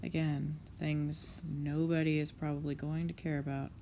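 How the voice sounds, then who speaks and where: Low gravelly-voiced woman, sniffly with a cold. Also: little pitch movement, moderate pace, mellow, a little hiss or hum. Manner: self-critical, swears casually, muttering under breath. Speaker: comic artist. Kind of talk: casual narration over a drawing session.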